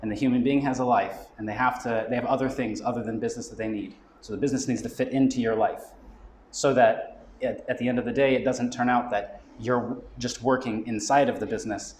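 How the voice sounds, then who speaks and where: A man's voice: medium pitch, fairly even intonation, a little fast, darkish tone, slight background noise. A man speaking as a marketing expert at a live event, explaining his ideas conversationally and often checking in with "right?". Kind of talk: live talk explaining business goal setting. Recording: roomy live venue with faint background ambience.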